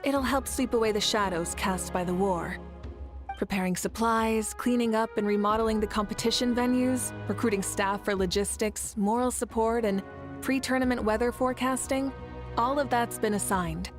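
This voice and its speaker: An actress playing a calm, stately female nation leader in a video game, speaking in a measured, formal way. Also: fairly high pitch, over music, natural voice. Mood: proud, formal. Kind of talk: scripted video game dialogue acting.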